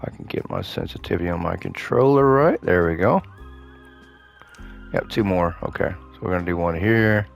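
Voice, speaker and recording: A man giving casual gameplay commentary, thinking aloud to himself as he plays, his voice slightly hoarse. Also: low voice, moderate pace, soft background music. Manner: relaxed thinking aloud, muttering to himself while playing. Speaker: gaming host playing a renovation game.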